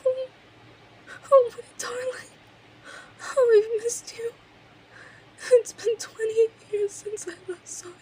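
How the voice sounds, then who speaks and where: A woman's voice: extremely high pitch, steady tone, takes it slowly, long pauses, mellow, poor audio. A woman playing an obsessive, lovesick kidnapper in her thirties in an intimate, emotional audio roleplay monologue. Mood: tearful, overwhelmed. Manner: clingy, emotional, swings between breathy whispers and outbursts.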